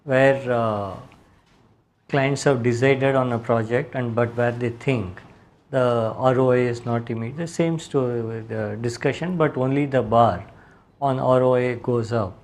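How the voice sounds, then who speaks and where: A man with an Indian accent speaking as a company executive, explaining results in a halting way. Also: mid-range voice, steady tone, darkish tone.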